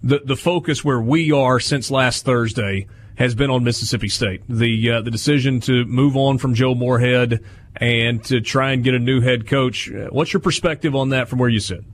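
A man's voice: medium pitch, steady tone, moderate pace. Easygoing radio host in his late thirties. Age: 30s. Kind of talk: sports radio interview.

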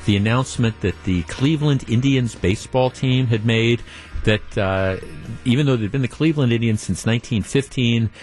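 A man's voice: medium pitch, moderate pace, a little mellow, soft background music. A man hosting a call-in radio talk show, talking conversationally with frequent restarts and fillers and reading listener emails aloud.

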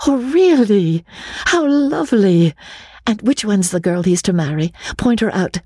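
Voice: mid-range voice, moderate pace, mellow, dry recording, clean studio sound, pitched above the performer's own voice, close to an everyday voice. A performer voicing a poised, genteel young wife in an audiobook, speaking sweetly with a smile. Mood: bright curiosity. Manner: clear, genteel, smiling.

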